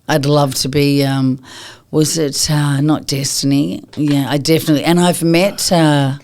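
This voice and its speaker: A woman from Australia with a husky voice, a yoga teacher chatting as a guest in a podcast studio. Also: deep voice, level tone, a little slow, a little mellow. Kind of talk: casual podcast interview.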